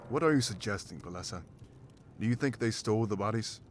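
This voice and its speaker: A man's voice: on the low side, expressive, fairly quick, a little hiss or hum, natural voice. A man playing a guarded, pragmatic rebel companion in a fantasy video game. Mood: impatient.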